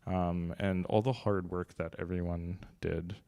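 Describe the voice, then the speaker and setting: Halting young man with a deep voice. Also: steady tone, warm tone. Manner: halting, many fillers and restarts, light joking. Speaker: gallery artistic director. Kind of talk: casual welcoming remarks. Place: gallery room, seated at a long table. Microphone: handheld microphone.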